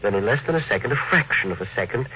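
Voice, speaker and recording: An actor playing an earnest, courteous, dreamy inventor who is hesitant yet insistent, in 1950s radio drama style. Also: male voice, mid-range voice, expressive, very fast, lightly acted. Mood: quietly confident. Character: earnest, polite, dreamy male inventor, quietly persistent. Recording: dull vintage radio recording.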